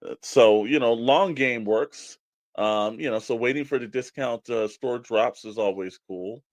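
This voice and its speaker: A man's voice: mid-range voice, unhurried, no room sound. A man co-hosting a podcast, chatting informally and giving advice in a rambling, conversational way. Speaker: podcast co-host. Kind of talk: casual podcast chat.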